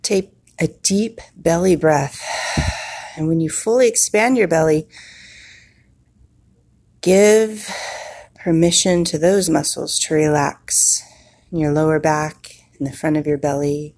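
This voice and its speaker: Low, husky woman guiding a slow meditation. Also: steady tone, a little mellow. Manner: slow, soothing, with long pauses. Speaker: meditation guide. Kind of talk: guided meditation.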